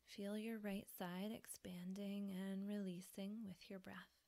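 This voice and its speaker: A woman softly guiding a yoga relaxation meditation in a breathy, soothing manner with pauses. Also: mid-range voice, flat delivery. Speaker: yoga nidra teacher.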